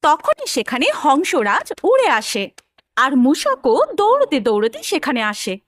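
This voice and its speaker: A woman narrates a scripted children's tale. Her voice is high and very expressive in pitch. The recording is close and dry. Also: measured pace, dry recording.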